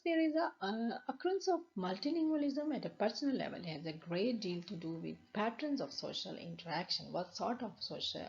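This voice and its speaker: A woman's voice: on the low side, gravelly. A woman with a Pakistani accent, a university lecturer explaining over slides, with many restarts and fillers. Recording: dull lo-fi recording.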